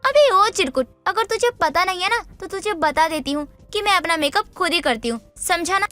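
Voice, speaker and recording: Casting: vain, slangy cartoon college girl, extremely high and sharp, quick to take offence. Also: animated, soft background music, exaggerated voice. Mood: indignant. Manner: slangy, defensive, exaggerated. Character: vain, touchy college girl obsessed with makeup. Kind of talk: Hindi animated moral story dialogue.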